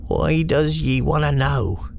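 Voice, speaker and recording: Eerie storybook crone, played by a man: rustic English dialect, gravelly, wary, superstitious, slow ominous muttering. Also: on the high side, expressive, a little hiss or hum, pitched above the performer's own voice, exaggerated voice. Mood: suspicious. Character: eerie old village woman, wary and superstitious.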